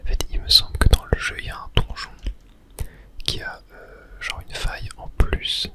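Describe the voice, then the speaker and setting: A person doing whispered ASMR let's play commentary, narrating their own play in a hushed, unhurried way close to the microphone. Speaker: gaming ASMR creator and host.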